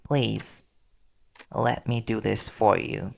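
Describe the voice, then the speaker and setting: A man playing a worried, devoted young reporter boyfriend in an intimate audio roleplay, speaking earnestly and soothingly with hesitant pauses. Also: on the low side, steady tone, vocal fry, heavily acted. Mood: urgent pleading.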